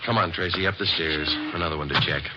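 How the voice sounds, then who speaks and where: A man narrating a police story in a clipped, deadpan way in 1940s radio acting. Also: low-pitched, quick pace, heavy background noise. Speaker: detective narrator. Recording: dull old radio recording with music.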